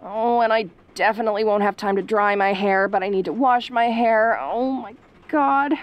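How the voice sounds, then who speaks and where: A woman acts out a tsundere tomboy in an audio roleplay. She is sassy and easily flustered, talking casually and defensively, with sarcasm that covers her softer side. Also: mid-range voice, fairly even intonation, moderate pace, muffled recording, lightly acted. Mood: flustered, apologetic. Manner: casual, defensive, sarcastic, hesitant when sentimental.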